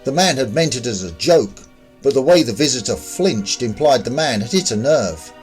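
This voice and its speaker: A man narrates a piece of fiction in a scripted storytelling style. His voice sits at a middle pitch for a man, with faint music underneath. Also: expressive, moderate pace.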